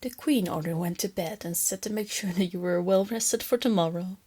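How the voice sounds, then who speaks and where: A woman voicing a female lamia captor in an intimate ASMR roleplay, soft and often whispery, teasing and coaxing, with condescending sweetness and a quiet menace. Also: lowish voice, a little fast, slightly rough. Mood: coaxing, bossy.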